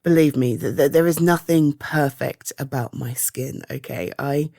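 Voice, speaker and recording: Casting: actress playing caring British woman for intimate ASMR roleplay; low, mellow, halting, reassuring delivery. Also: creaky, no room sound, studio quality, exaggerated voice. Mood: gentle reassurance. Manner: hesitant, soft reassuring, halting pauses. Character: caring, reassuring woman comforting a loved one. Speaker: voice roleplay performer. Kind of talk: ASMR roleplay, one-sided intimate conversation.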